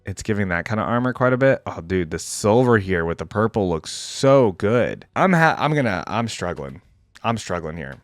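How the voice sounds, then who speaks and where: A man giving casual, unscripted reaction commentary as a gaming fan, slangy and thinking out loud as he second-guesses himself. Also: on the low side, sing-song, normal speed, dry recording, studio quality.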